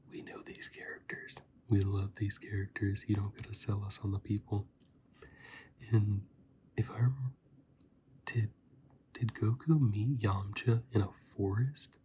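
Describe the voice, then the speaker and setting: Soft, slow, low-voiced whispering man. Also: noisy background. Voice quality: soft, breathy, often whispered. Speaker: video host and reviewer. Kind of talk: softly spoken ASMR movie review, casual.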